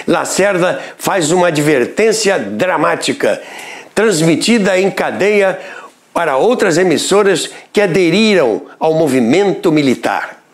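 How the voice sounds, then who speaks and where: A Brazilian man narrating a scripted radio history documentary. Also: fairly high pitch.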